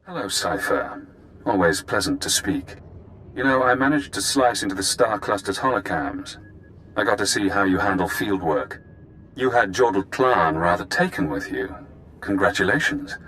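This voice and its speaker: Deep-voiced calculating former spy. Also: male voice, animated, pushed and bright, slight background noise, low-quality recording. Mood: dry amusement. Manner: measured, precise, formal. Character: imprisoned former spy, cool calculating male informant.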